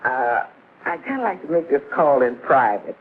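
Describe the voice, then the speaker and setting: A woman's voice: rumbling low, big pitch swings, moderate pace. An actress playing an imperious, theatrical middle-aged mother with a grand, emphatic delivery, in 1950s American sitcom style. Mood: coy. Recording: tinny, noisy vintage television soundtrack.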